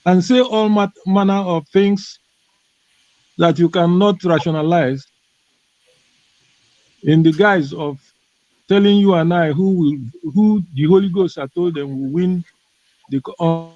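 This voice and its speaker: A man with a high, mellow voice speaks with force and scorn, pressing his point on a call. The audio is dry and dull, like lo-fi call sound. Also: measured pace, pauses often, no echo. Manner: forceful, scornful of religious leaders. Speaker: panelist. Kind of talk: impassioned political commentary.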